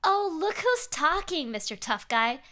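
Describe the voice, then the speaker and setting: A woman's voice: very high-pitched, very animated, a little slow, pushed and bright, pitched above the performer's own voice, full character voice. A woman voicing a taunting, obsessive stalker fan from a horror story, in a smug, sing-song manner. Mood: teasing, pushy.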